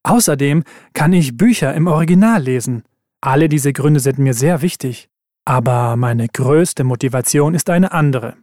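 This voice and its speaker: A man reading scripted exam texts aloud in an even, careful way. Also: medium pitch, dry recording, clean studio sound. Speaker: exam recording reader.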